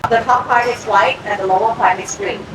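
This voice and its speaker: A woman's voice: mid-range voice, steady tone, a little fast. A woman with a Thai accent who is a cooking instructor. She explains steps to a class and often checks in with "okay?". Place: cooking school and market, indoors and out. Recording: echoey, distant, with background noise.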